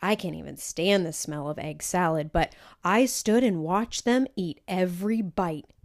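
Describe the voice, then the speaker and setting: Woman, storybook reader, animated scripted narration, slow pace, lowish voice. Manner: animated, acts out each character's dialogue.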